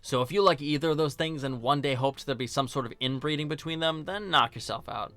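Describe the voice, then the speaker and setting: A man's voice: fairly high pitch, brisk, a bit bright, faint music behind. A man narrating a scripted film review in a casual, joking, sarcastic and colloquial way. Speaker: video host and film reviewer.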